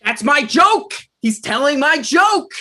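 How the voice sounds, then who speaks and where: An actor playing an awkward, eager, put-upon young job seeker with a halting, flustered way of talking in a comedy table read. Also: male voice, very high-pitched, very animated, bright, forceful tone, exaggerated voice. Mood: exasperated.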